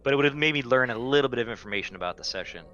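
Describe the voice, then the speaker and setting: Blunt, bright-voiced man playing a tabletop game. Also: mid-range voice, lively intonation, very fast, highs cut off. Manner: swearing, blunt, bossy. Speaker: tabletop roleplaying game player. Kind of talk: casual in-game roleplay and table chat. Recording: music under the voice.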